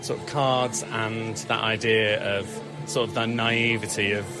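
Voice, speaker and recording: A man's voice: on the low side, steady tone, unhurried. A man, a set designer, talking casually and modestly in a short interview, feeling his way through his answers. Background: music.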